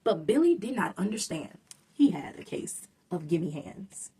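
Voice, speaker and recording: A woman's voice: on the low side, big pitch swings, measured pace, warm tone, breathy edge, distant mic. A woman reading a rhyming children's story aloud, expressively and with dramatic emphasis. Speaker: storybook reader.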